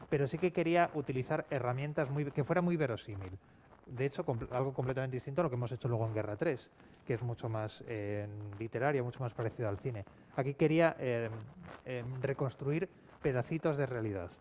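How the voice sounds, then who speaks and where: A man with an accent from Spain speaking unscripted on a panel in a halting, self-correcting way, as an audio fiction writer. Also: medium pitch, a little mellow. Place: public round-table event. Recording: clear background noise, live event ambience.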